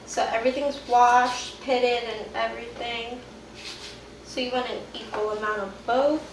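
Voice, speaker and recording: A young woman in her twenties from Miami chats through a cooking demo, giggling often and taking her time over each word. Her voice sits a little high. She is picked up from a distance in an echoey home kitchen with clear noise behind her. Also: little pitch movement, measured pace. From Miami, Florida. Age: 20s. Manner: bubbly, giggly, chatty. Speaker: cooking show contestant. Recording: echoey room, distant mic, noisy.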